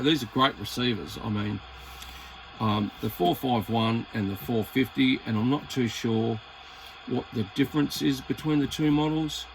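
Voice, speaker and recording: Australian man, casual hobbyist presenter, medium pitch, rambling hesitant delivery, noisy recording with radio hiss. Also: gravelly. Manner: rambling, laid-back, hesitant phrasing. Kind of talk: casual chat while demonstrating equipment.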